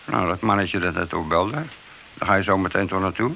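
An actor playing a loyal, steady married policeman in a Dutch radio play, mild and plain-spoken, quietly probing his partner. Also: deep voice, brisk, vocal fry, heavily acted. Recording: faintly hissy old radio drama recording.

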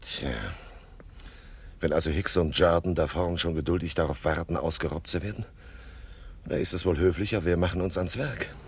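Cool bossy crook faking a police inspector, low voice, old radio play with some background noise. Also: male voice, fairly even intonation, moderate pace, long pauses. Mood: dry, cool. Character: gang boss posing as police inspector, brisk, cool, commanding. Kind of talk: 1970s German radio crime comedy acting. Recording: noisy 1970s radio drama tape.

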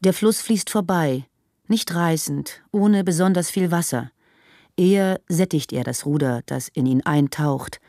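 Lowish female narrator over music. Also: unhurried, dark tone, no room sound, studio quality. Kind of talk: literary radio drama narration. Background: instrumental music.

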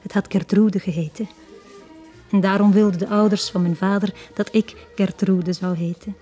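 A mellow-voiced Flemish woman, played by an actress, quietly confides her sad past in a 1980s radio drama. She is intimate and wistful, often dropping near a whisper. Faint music plays behind her. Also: medium pitch, fairly even intonation, normal speed, close to an everyday voice. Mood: sorrowful. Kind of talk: 1980s Dutch-language radio drama acting.

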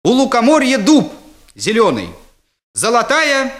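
A man's voice: extremely high pitch, huge pitch swings, unhurried, a bit bright, voice pushed up, exaggerated voice. A man voicing a cheeky schoolboy in a comic story, drawing out his words with over-eager, feigned innocence. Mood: bluffing, hesitant. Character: stalling, cheeky fifth-grade schoolboy bluffing through a recited poem.

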